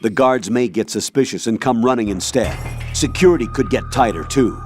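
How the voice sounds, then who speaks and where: An actor playing a composed military commander in a video game, giving a firm, deliberate, instructional mission briefing. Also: male voice, medium pitch, darkish tone, natural voice. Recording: faint game music behind the voice.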